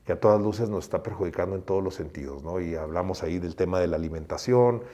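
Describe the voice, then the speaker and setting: A middle-aged man from Mexico, a doctor giving an earnest interview, speaking slowly and often restarting his phrases. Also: low voice, mellow. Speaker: guest doctor.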